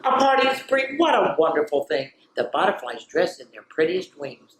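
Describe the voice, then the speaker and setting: A woman reading aloud to children as a storyteller, expressive and playful, with chatty asides. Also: lowish voice, normal speed, slight background noise. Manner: expressive, playful, drops into whispers for refrains. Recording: roomy, echoey sound.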